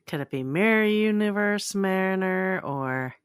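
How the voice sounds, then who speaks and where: A woman's voice: medium pitch, expressive, measured pace, clean tone, dry recording, clean studio sound. A woman co-hosting a fan podcast, chatting informally and with enthusiasm. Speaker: podcast co-host. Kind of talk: casual fan podcast chat.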